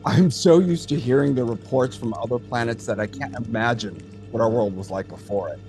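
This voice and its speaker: A man's voice: medium pitch, brisk, lightly acted. Guy acting a veteran alien data center tech, patient n thoughtful, mid-range voice, audio drama, bit of music behind. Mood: explaining.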